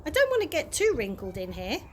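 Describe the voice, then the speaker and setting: A woman voicing a cheerful, eccentric old male tramp in a storybook, bright, polite and unbothered. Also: sits high, wildly swooping pitch, a little fast, a little hiss or hum.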